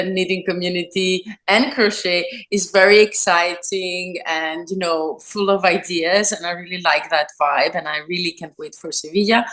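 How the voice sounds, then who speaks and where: Woman talking slow on a video call, lots of ums and restarts. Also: lowish voice, fairly bright tone. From Greece. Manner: unhurried, many fillers and restarts. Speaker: guest, yarn dyer. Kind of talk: casual video interview. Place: home studio.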